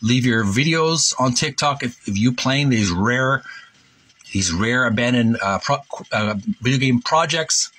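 A man hosting a retro gaming video, reading a book aloud in a halting, deliberate way with casual commentary. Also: medium pitch, expressive, fairly bright tone, slight background noise. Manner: halting, deliberate, repeats himself.

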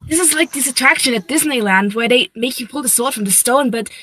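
A woman's voice: fairly high pitch, fairly quick, fairly bright tone, slightly rough, rough recording. A woman playing a cheeky, easygoing hedgehog hero in an improvised comedy fandub, talking in a casual, skeptical, slangy way. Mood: puzzled.

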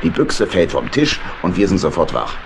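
An actor playing a fussy, avuncular badger boat captain who mutters and repeats himself in a children's radio play. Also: male voice, low voice, big pitch swings, normal speed, gravelly, exaggerated voice. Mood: cosy. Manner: hesitant, muttering, repeats words. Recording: noisy storm and engine ambience.

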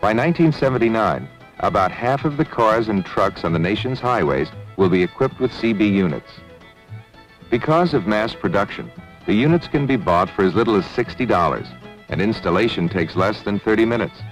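A man reading formal, measured scripted narration as a documentary narrator. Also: lowish voice, expressive, rough recording. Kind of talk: scripted newsreel-style narration. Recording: 1970s film soundtrack with music. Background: background music.

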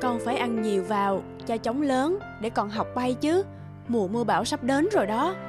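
A gentle mother bird from a cartoon, played by a woman, speaks in a high voice. She is tender, polite and patient, with clear music underneath. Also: animated, normal speed, natural voice. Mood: warm, polite. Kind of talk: cartoon dubbing. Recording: music behind the voice.

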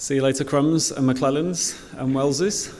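A man's voice: medium pitch, steady tone, normal speed, darkish tone. Male church leader, 30s, easygoing delivery, reverberant hall with faint hiss. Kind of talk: leading prayers and dismissal. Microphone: stand mic.

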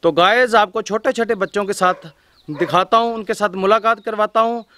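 A man, talking to his viewers in an upbeat, chatty vlog style. His voice sits fairly high. He is recorded outdoors on a farm, with faint noise in the background. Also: normal speed, sharp tone. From northwest India. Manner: chatty, upbeat, keeps addressing viewers as guys. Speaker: travel vlogger host. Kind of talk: casual vlog narration.

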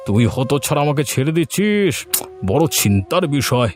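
A man's voice: mid-range voice, big pitch swings, moderate pace, over music, close to an everyday voice. A man voicing a blustering, heavyset village gang boss in a cartoon fairy tale, with a melodramatic delivery. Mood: menacing bluster. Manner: threatening, melodramatic.

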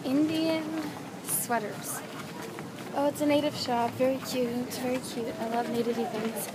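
High-voiced young woman vlogging on a noisy street. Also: fairly even intonation, measured pace. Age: young adult. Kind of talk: casual handheld vlog chat. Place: outdoors on busy city streets. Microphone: phone held at arm's length. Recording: noisy street with crowd chatter.

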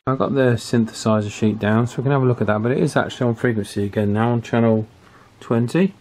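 A middle-aged man with a mid-pitched voice talks through hands-on technical work in an informal way. He speaks hesitantly, thinking aloud, in the manner of a repair technician presenting from the bench. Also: fast talker, a little mellow, slight background noise. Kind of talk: informal hands-on workbench commentary. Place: small indoor workshop, at the bench.

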